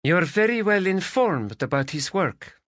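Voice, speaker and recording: A man's voice: sits high, expressive, unhurried, pushed and bright, no room sound, studio quality, voice pushed up, heavily acted. A man voicing a proud, fiery Spanish noblewoman in an audiobook, speaking emotional, slightly broken English with a Spanish accent. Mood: icy. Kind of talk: audiobook character voice.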